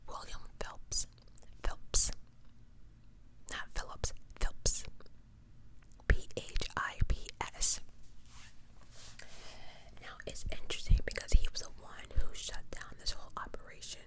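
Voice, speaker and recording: A woman's voice: slow pace, pauses often. Whispering woman, opinionated ASMR storyteller. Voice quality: pure unvoiced whisper. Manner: opinionated, slangy, conversational asides, repeats words for emphasis. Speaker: ASMR host. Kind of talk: whispered ASMR true-crime commentary, reading and reacting.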